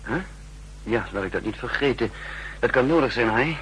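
An actor in a 1960s Dutch radio play, playing a shrewd, commanding spy chief who is brisk, authoritative and teasing. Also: male voice, medium pitch, animated, fairly bright tone, a little hiss or hum, natural voice, low-quality recording. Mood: sardonic, teasing.

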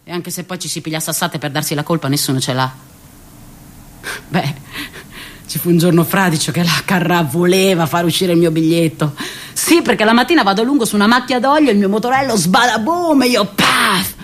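A woman's voice: on the low side, a little fast, voice pushed down, natural voice. Woman acting a restless, bitter young man. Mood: wry, reflective. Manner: restless, ranting, with abrupt swings from muttering to outbursts. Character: bitter, cocky thirty-year-old jobless man on a scooter. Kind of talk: radio theatre monologue, colloquial and profane.

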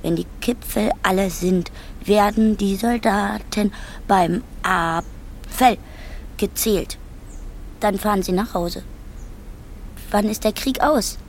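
Slow, childlike, simple-minded woman. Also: medium pitch, slight background noise. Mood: dreamy, naive. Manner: naive, absent-minded, halting. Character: simple-minded, childlike, dreamy female camp inmate. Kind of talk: literary radio drama acting.

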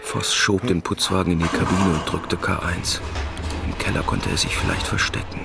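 An actor giving suspenseful, scripted narration of an escape in a radio crime drama. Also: male voice, low-pitched, steady tone, moderate pace, vocal fry, loud music behind the voice.

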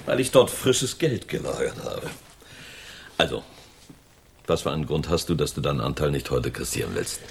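An actor playing a self-assured, cautious crook in a radio crime drama, speaking deliberately with sardonic maxims. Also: male voice, a bass voice, big pitch swings, vocal fry, full character voice. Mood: cool. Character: wry, self-assured male crook, cautious gang planner. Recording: roomy echo, faint background noise.

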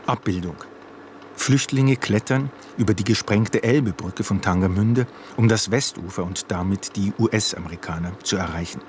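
A man with a low voice reads out captions in a clipped, factual documentary manner. Faint noise, like a vehicle, sits behind his scripted narration. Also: moderate pace.

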